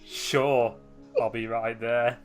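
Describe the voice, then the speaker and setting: A man's voice: medium pitch, little pitch movement, slow pace, smooth, faint music behind. Young man casually reading fan fiction. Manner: laughing, offhand asides. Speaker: story reader, channel host.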